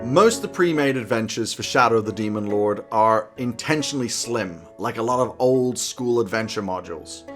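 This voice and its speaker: A man's voice: fairly low pitch, normal speed, hardly any pauses, a bit bright, soft background music. A man in his thirties presenting semi-scripted game master advice to camera in a wry, emphatic, irreverent way. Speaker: host giving game master tips. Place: small indoor home office.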